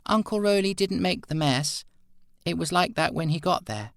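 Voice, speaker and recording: A man voicing a guileless little boy, high and lilting. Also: expressive, moderate pace, gravelly, dry recording, clean studio sound. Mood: earnest, defensive. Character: small boy, guileless, curious and cheeky.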